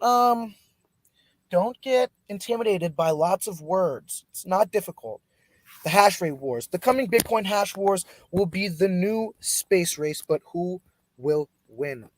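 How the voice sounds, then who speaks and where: A male host opens his weekend stream with punchy, emphatic energy. His voice sits a little high. His level swings between bold lines and quiet asides. The sound is dry and close, with no room echo. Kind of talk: livestream podcast hosting.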